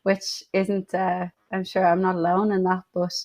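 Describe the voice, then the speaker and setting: A woman's voice: on the low side, monotone, unhurried, a little mellow. A woman, a playwright, chatting casually as an interview guest on a video call. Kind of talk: casual chat.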